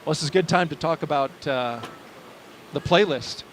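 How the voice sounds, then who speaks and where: A man hosting a live launch broadcast, naming the crew and narrating their walkout in a steady, informative way. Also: fairly high pitch, moderate pace, noisy background.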